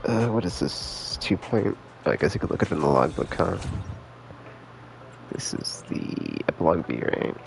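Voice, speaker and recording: Male streamer, laid-back and slangy, very creaky delivery with lively pitch movement, rambling game commentary. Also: moderate pace, slight background noise. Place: indoors, live stream with game music behind.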